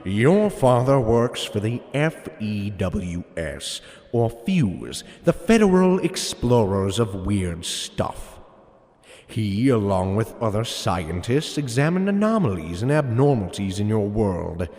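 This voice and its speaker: A man's voice: fairly low pitch, sing-song, slow, darkish tone, big-room sound, full character voice. A man playing a huge, gloating, theatrical supervillain mastermind in an audio drama, with a grandiose, taunting delivery. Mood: condescending. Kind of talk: hobby audio drama acting.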